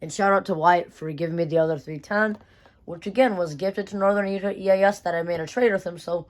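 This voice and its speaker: Young hobby vlogger, mid-range pitch, informal, slangy, repeats phrases, off-the-cuff show-and-tell. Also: fairly even intonation, fast talker. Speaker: vlogger showing a weather radio collection.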